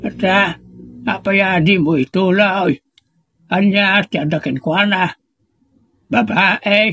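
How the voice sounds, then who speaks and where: A man's voice: sits high, fairly even intonation, slow, pushed and bright, slight background noise, full character voice. An actor with a northern Philippine accent playing a suspicious, gossiping man in an Ilocano comedy drama. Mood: suspicious, teasing. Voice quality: husky, creaky. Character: suspicious, gossiping man uneasy about friend's father. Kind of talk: Ilocano comedy radio-style drama acting.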